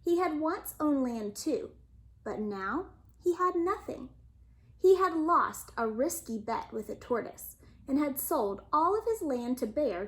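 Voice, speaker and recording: A woman reads a children's bedtime story in a high voice. Her storytelling is very expressive, with wide swings in pitch and a slow, measured pace.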